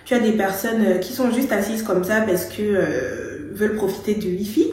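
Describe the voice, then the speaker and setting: A woman's voice: mid-range voice, moderate pace, mellow, heavy reverb. A young woman from Gabon chatting casually as she tells a storytime, with many fillers and some English words. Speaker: vlogger.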